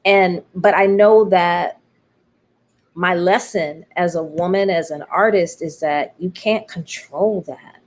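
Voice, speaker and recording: A woman with a slightly low voice talks candidly in an interview about her creative work. She is reflective and expressive, slipping into quoted remarks as she goes. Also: takes it slowly. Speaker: playwright being interviewed.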